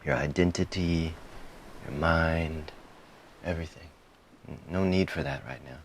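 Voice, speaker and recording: A man guiding a meditation in a calm, soothing manner, giving soft instructions in short phrases with frequent pauses. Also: extremely low pitch, a little hiss or hum. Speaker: meditation guide. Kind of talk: guided meditation, softly spoken instruction.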